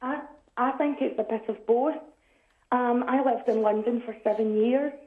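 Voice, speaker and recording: A woman's voice: a little high, normal speed. A woman from Scotland, calling a radio phone-in and talking in a hesitant, conversational way. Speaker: caller. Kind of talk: phone-in call. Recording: tinny echoey phone line.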